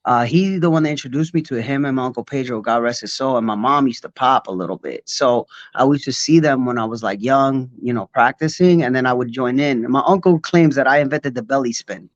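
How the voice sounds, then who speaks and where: A man's voice: mid-range voice, brisk, few pauses, dry recording, muffled recording. Laid-back Puerto Rico-born man, rambling. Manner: loose, rambling storytelling with many fillers. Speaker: guest b-boy. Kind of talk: casual interview chat.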